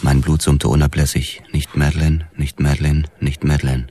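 An actor playing a brooding police detective who narrates a noir radio drama in a sober, literary way. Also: male voice, rumbling low, level tone, normal speed, warm tone, a little hiss or hum. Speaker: first-person narrator.

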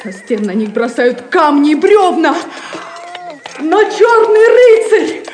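A woman's voice: high voice, big pitch swings, slow pace, a little mellow, a little hiss or hum, heavily acted. An actress playing a brave, devoted young captive woman in an audio drama, speaking earnestly and dramatically. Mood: alarmed. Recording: echoey, with music behind.

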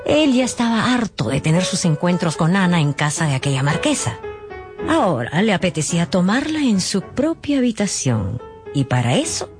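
Deep-voiced, expressive woman storyteller. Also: moderate pace. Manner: expressive, wry, gossipy asides. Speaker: narrator. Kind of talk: dramatised storytelling narration. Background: background music.

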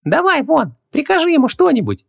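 A man voicing a tiny, mischievous genie in a squeaky, piping voice, cheeky and whiny, for a storybook. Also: wildly swooping pitch, brisk, vocal fry, full character voice. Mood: eager.

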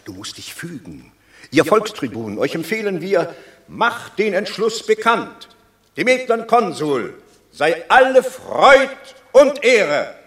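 A dignified Roman statesman in theatrical radio drama. His delivery is unhurried and oratorical, rising and falling widely. The voice echoes as in a hall. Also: sits high, very animated, fairly bright tone, exaggerated voice. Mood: proclaiming. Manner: formal, oratorical. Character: dignified Roman senator, urgent and conciliatory elder statesman. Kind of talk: classic German radio play, theatrical.

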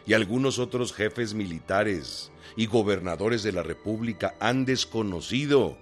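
An actor playing a resolute revolutionary general, solemnly reading out a formal letter. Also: male voice, on the low side, a bit bright. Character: resolute male revolutionary general dictating a cordial war letter. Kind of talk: radio drama, reading a letter aloud.

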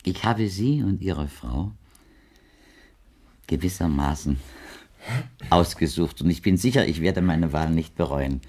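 An actor playing a gentle, insistent old blind man who speaks in a flowery, poetic, persuasive way in a German radio play. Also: low-pitched, a little slow, long pauses. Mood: gently hopeful. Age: older.

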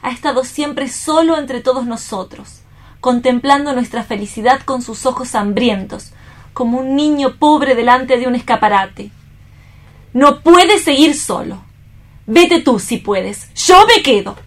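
An actress playing an anguished, remorseful young woman in a radio theatre reading, earnest and melodramatic, with deliberate phrasing. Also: high voice, animated. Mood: resolute, remorseful.

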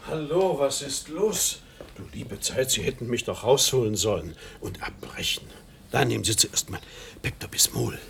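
An actor playing a kindly, pious American neighbour who fusses chummily over a sick friend in a radio drama, with a gravelly voice. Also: male voice, mid-range voice, big pitch swings, normal speed, a bit bright, a little hiss or hum. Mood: concerned, brisk. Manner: solicitous, chummy.

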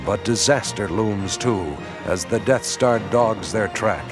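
A man's voice: fairly low pitch, slow. A man delivering dramatic scripted narration as the narrator of a radio drama. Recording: radio drama with music behind.

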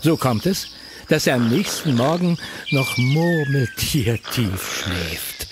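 A man acting as a wry, friendly storybook narrator in a children's audio drama, with a playful, expressive delivery. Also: mid-range voice, slow, noisy background. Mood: wry concern.

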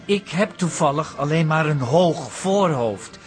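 An actor playing a grumbling, worried shop owner with a Netherlands Dutch accent and a sarcastic edge in a radio play. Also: male voice, on the high side, lively intonation, unhurried. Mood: irritated. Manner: grumpy, sarcastic. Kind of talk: radio comedy-drama acting. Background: music.

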